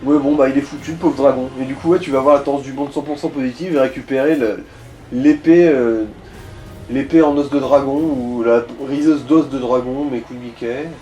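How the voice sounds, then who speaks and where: A man's voice: medium pitch, fairly even intonation, moderate pace, warm tone, faint music behind, rough recording. A man giving casual let's play commentary as he guides the player, in slangy, crude language full of swearing. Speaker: gaming co-commentator guiding the player.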